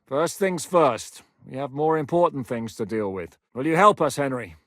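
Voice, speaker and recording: A man's voice: medium pitch, lively intonation, normal speed, fairly bright tone, dry recording, close to an everyday voice. An actor playing a grizzled male war captain in a medieval video game, blunt, commanding and dryly sardonic, recorded in a studio booth. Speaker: mercenary leader in a medieval game.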